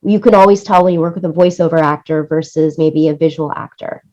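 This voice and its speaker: Casting: female interview guest, lower register, conversational and demonstrative, slightly dull low-fidelity sound. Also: brisk. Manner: animated explaining, acts out quoted lines, seeks agreement with "right?". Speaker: interview guest, music supervisor and audio producer.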